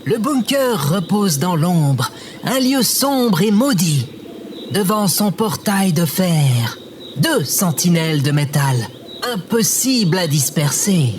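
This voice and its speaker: Little robot playing tragic oracle in audio drama; grandiose, unhurried, very animated melody. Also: male voice, high-pitched, noisy background. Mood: ominous. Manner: overdramatic, pompous, archaic declamation. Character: small yellow robot hamming up a Shakespearean oracle. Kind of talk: theatrical scripted audio drama.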